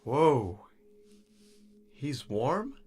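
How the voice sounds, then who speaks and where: An actor playing an awed, questioning new security recruit in a noir science fiction audio drama, sounding incredulous. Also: male voice, medium pitch, very animated, extremely slow, a little mellow, slightly rough, full character voice. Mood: stunned, curious.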